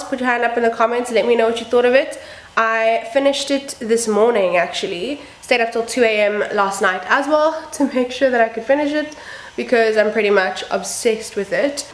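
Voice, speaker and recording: A woman vlogger talking casually through a makeup tutorial, a little croaky and sniffly from a cold. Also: a little high, echoey room. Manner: chatty, upbeat, self-deprecating asides. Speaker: beauty vlogger. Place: home room with building noise outside.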